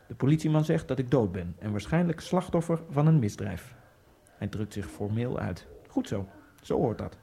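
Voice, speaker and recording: The husky, slightly raised voice of a dead male Egyptian immigrant who narrates a radio play from beyond. He speaks in a quiet, dry, sardonic way, with a dark, mellow tone. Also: a little high. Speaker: first-person narrator. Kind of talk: radio drama monologue.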